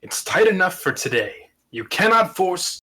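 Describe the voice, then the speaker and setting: A man's voice: high voice, big pitch swings, normal speed, sharp tone, husky, heavily acted. A man playing a watchful, protective dragon who speaks in an earnest, declamatory way at a script table read. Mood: concerned. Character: watchful, protective male dragon guarding a princess.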